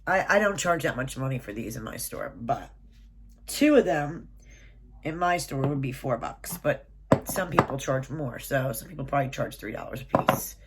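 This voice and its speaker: A low-voiced woman speaks slowly through a stuffy, nasal head cold. She reads out item lists and prices with casual asides, recorded in a small room at home with a steady hiss of background noise. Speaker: subscription box reviewer. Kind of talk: casual unboxing, reading from a booklet.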